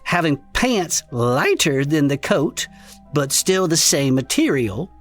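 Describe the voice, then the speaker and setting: A performer playing a folksy, excitable male podcaster in a comedic audio drama, reading strange reports aloud with incredulous asides. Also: medium pitch, slow pace, faint music behind. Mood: amused, incredulous. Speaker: co-host reading case reports.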